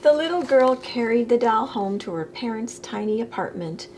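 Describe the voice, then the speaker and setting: A woman reading a children's picture book aloud expressively, with deliberate phrasing, as a storytime reader. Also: fairly high pitch, animated, a little mellow, a little hiss or hum.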